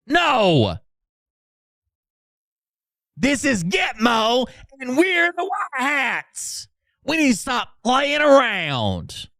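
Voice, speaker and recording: A man doing an over-dramatic mock reading as a pompous, indignant patriot leader, with drawn-out theatrical delivery. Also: extremely high pitch, gravelly, sharp tone, dry recording, clean studio sound, pitched above the performer's own voice, full character voice. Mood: outraged. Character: overdramatic male self-styled patriot leader, pompous and indignant.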